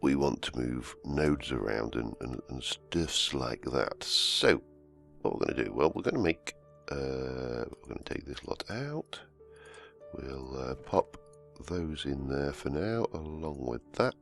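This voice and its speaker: A man, croaky from a cold, hosting casual gameplay commentary and rambling as he plays. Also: very deep voice, expressive, takes it slowly. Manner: rambling, self-correcting, humming to himself. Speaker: let's-play host. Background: soft background music.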